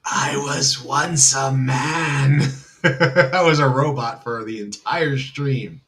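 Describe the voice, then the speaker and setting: A man's voice: mid-range voice, takes it slowly, a bit bright. A man hosting a casual livestream chat with his viewers, rambling loosely and leaning heavily on filler words. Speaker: livestream host. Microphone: computer mic on a livestream.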